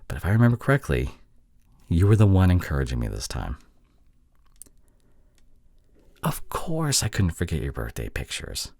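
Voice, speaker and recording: Man acting a deep, husky, sheepish young boyfriend. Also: huge pitch swings, no echo, clean studio sound, exaggerated voice. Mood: apologetic, tender. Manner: soft, halting, pleading delivery with breathy drops toward a whisper. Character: sheepish, affectionate young boyfriend apologizing to his long-distance partner. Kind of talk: intimate boyfriend roleplay audio drama.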